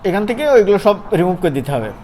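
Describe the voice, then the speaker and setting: A man with a regional Bangladeshi Bengali accent giving an informal software tutorial, conversational and often checking that his students follow. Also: high-pitched, very animated, rapid-fire. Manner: conversational, often asks check-back questions. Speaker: animation course instructor. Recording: noisy room, clearly audible background noise.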